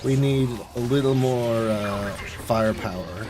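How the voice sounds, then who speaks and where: A man's voice: mid-range voice, measured pace, music in the background. A man streaming a video game, giving casual live commentary with mild, exclamatory grumbling. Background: video game sounds.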